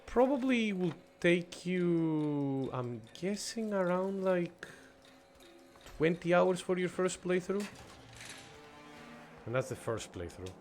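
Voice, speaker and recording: A man's voice: sits high, big pitch swings, slow pace, darkish tone, soft background music. A young man from Greece streaming a horror game, chatting casually with viewers and reacting as he plays. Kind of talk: casual gameplay commentary.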